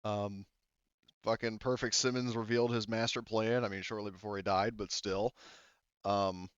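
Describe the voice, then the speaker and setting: A man's voice: on the low side, flat delivery, moderate pace, clean tone, no room sound, dull audio. A man running a tabletop game session, talking in a loose, rambling way full of fillers. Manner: rambling, filler-heavy, laid-back. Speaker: game master.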